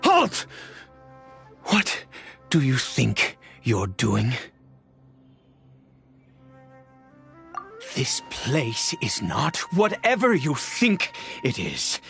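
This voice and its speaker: A woman's voice: very deep voice, huge pitch swings, drawn-out words, creaky, a bit bright, faint music behind, full character voice. A woman voicing a stern, suspicious, protective guardian in a video game, haughty and commanding. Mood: stern, challenging. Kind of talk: dramatic video game voice acting.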